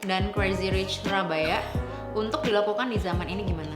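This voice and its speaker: Young Indonesian woman, mid pitch, loud music behind. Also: brisk. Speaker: panelist. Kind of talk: casual group discussion. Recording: roomy, loud music underneath. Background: loud background music.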